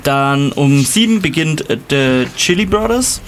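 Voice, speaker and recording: A man's voice: a little high, animated, a little slow, noisy background. Hesitant man reading a program. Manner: hesitant, many fillers, stumbles over names. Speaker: festival organizer guest.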